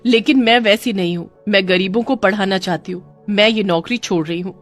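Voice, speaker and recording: A woman's voice: medium pitch, a little fast, soft background music, lightly acted. Woman playing a kind, determined schoolteacher. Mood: indignant. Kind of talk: children's moral story voice acting.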